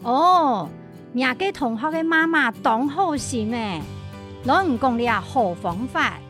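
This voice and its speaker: A woman's voice: high-pitched, expressive, slow pace, a bit bright, faint music behind, heavily acted. A woman with a Hokkien-tinged Taiwanese Mandarin accent acting a helpful, chatty neighbour auntie in a radio drama.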